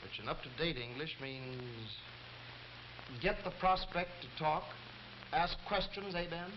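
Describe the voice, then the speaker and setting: Male actor as brisk 1930s salesman, skeptical, probing, fairly high voice, noisy vintage training-film soundtrack. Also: muffled recording, lightly acted. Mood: skeptical, curious.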